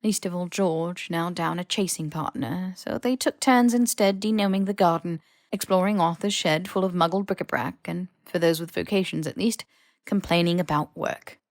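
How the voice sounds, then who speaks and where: A woman's voice: on the low side, moderate pace, no echo, clean studio sound. A woman reading scripted fan fiction as an audiobook narrator. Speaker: podfic narrator.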